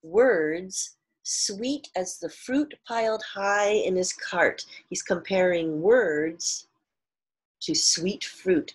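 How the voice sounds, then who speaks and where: Middle-aged female teacher for picture-book read-aloud; lowish, breathy-husky voice, expressive and explanatory delivery. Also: poor audio. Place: small living room at home, indoors.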